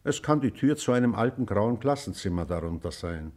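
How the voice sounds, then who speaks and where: An actor playing an old teacher, solemn and exacting, with a measured schoolmasterly manner in a literary drama. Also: male voice, on the low side, expressive, darkish tone, natural voice. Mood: solemn, lecturing. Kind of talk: German stage or radio drama acting.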